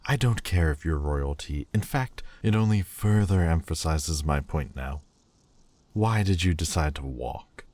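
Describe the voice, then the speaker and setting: Casting: male actor as a sullen demon lord, drawn-out and disdainful delivery for an audio roleplay. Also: a bass voice, animated, darkish tone, no room sound, exaggerated voice. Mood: bickering. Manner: scornful, slow and deliberate.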